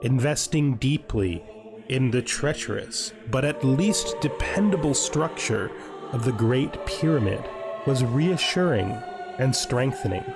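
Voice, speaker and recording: A man playing a solemn chronicler of a vampire clan, giving slow, measured scripted game narration. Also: mid-range voice, expressive. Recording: music playing behind the voice.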